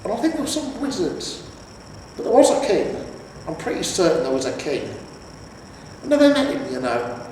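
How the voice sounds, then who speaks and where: A man's voice: very high-pitched, big pitch swings, moderate pace, vocal fry, pauses often, darkish tone, pitched above the performer's own voice, full character voice. A man voicing an aging, world-weary barbarian hero in a storybook, with a wistful, hesitant, plain-spoken manner. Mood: vague nostalgia. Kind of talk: storybook character reading. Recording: echoey reverberant room, distant, faint hiss.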